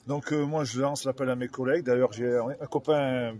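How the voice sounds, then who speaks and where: A man's voice: mid-range voice, steady tone, fairly quick, a little mellow. A man, a farmer speaking colloquially and earnestly as he hosts an informal live interview. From France.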